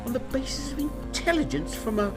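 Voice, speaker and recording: A woman playing a curt, animated female Prime Minister. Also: sits high, brisk. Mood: appalled. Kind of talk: radio drama acting. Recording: music behind the voice.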